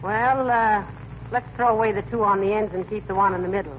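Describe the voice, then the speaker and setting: An actress playing a sensible, teasing wife who corrects her husband briskly and dryly in a radio comedy. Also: mid-range voice, steady tone, natural voice. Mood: pleasant, dry. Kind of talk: 1940s radio comedy acting. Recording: muffled, noisy vintage radio recording.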